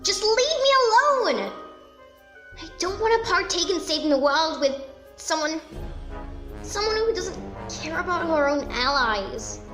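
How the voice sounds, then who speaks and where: A woman's voice: extremely high pitch, big pitch swings, a little slow, pauses often, heavily acted. A woman voicing a brash, hot-tempered, sarcastic female pony in a fan fiction audio drama. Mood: angry. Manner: sarcastic, accusatory. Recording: roomy echo under loud music.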